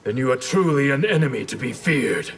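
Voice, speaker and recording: An actor playing a grim, tormented fighter in a video game, terse and stern. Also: male voice, medium pitch, lively intonation, brisk, over music, natural voice.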